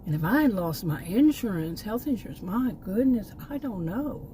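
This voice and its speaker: A woman's voice: medium pitch, lively intonation, moderate pace, mellow, a little hiss or hum. A middle-aged woman from Texas chatting casually to her camera outdoors near a park, meandering and repeating words as she trails off. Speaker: vlogger. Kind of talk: casual rambling chat to camera.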